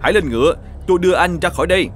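A man voicing a helpful, concerned old desert horseman in an animated folktale. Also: sits high, rapid-fire, a little hiss or hum, close to an everyday voice. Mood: kind, concerned.

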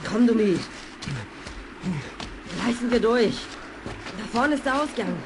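An actress playing a brave, determined young adventurer, earnest and urging, in a children's audio play. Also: female voice, on the high side, expressive, unhurried. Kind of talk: 1970s German children's audio play acting. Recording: old audio drama, clear background noise.

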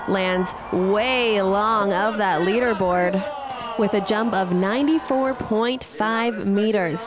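A woman giving scripted sports highlights commentary as a voice-over. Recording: clear ambient event noise behind voice.

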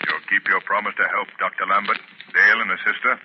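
An actor playing a mysterious, stern vigilante in 1930s radio drama, deliberate and ominous. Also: male voice, deep voice, flat delivery, slight background noise, close to an everyday voice. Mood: solemn, controlled. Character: mysterious stern male vigilante crime fighter. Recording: muffled 1930s radio recording.

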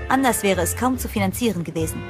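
An actress playing a sensible, uneasy young heroine in a horror audio drama, earnest and measured. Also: female voice, mid-range voice, expressive, music in the background, close to an everyday voice. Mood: reflective, uneasy.

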